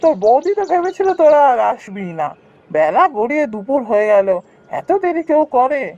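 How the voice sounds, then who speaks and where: A man voicing a haughty, well-off elder brother in a village cartoon, talking down in a loud, mocking way. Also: very high voice, sing-song, fairly quick, dull audio. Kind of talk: cartoon voice acting.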